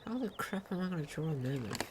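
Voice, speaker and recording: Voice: medium pitch, expressive, fast talker. A gaming commentator talking casually and reading in-game text aloud, sometimes stumbling over words. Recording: home recording with faint noise.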